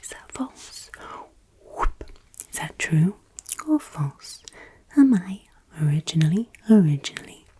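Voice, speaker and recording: An English woman from Yorkshire whispering softly in an ASMR Q&A, teasingly repeating her questions. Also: lowish voice, big pitch swings, slow pace, long pauses, breathy edge. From England, Yorkshire. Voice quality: soft unvoiced whisper. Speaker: ASMR creator.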